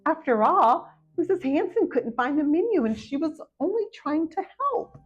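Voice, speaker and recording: A woman narrating a children's picture book aloud in an expressive storytelling style. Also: sits high, moderate pace. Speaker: storybook reader. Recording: muffled audio with faint music behind.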